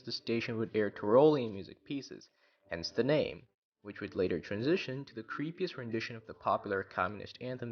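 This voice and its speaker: A man's voice: mid-range voice, lo-fi sound. A man narrating an informal explainer video as its creator.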